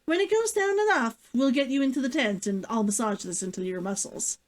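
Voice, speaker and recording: Storybook role: gruff, caring male traveler, matter-of-fact, swinging intonation read by a woman. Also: high voice, animated, fairly quick. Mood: practical, caring. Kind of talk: fiction read-aloud character dialogue.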